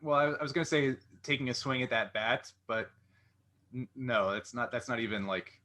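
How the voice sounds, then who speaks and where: Bright-voiced man hosting a casual interview. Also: mid-range voice, moderate pace. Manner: rambling, thinking aloud. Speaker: interview host.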